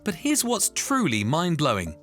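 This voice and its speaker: Guy doing a dramatic explainer voiceover, really animated, punchy emphasis, music behind him. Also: on the high side, moderate pace. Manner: emphatic, suspense-building delivery. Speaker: narrator of an explainer video. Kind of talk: dramatic scripted explainer narration.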